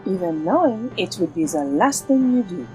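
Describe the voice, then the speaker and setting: A woman's voice: lowish voice, very animated, normal speed, warm tone, faint music behind, poor audio. An actress playing a bold, teasing swordswoman in an audio drama, with theatrical delivery. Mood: resolute. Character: bold, teasing, sword-wielding French swashbuckling heroine.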